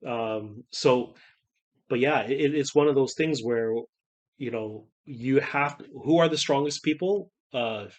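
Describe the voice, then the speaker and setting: Man co-hosting a podcast, halting delivery. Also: mid-range voice. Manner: halting, restarts with "and, and". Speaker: podcast co-host. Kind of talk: casual discussion.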